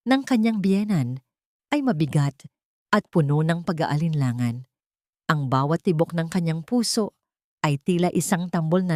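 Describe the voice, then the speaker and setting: A woman reading a scripted dramatic story in a measured, solemn, suspenseful way. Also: deep voice, a little mellow, dry recording, clean studio sound. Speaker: narrator of audio drama stories.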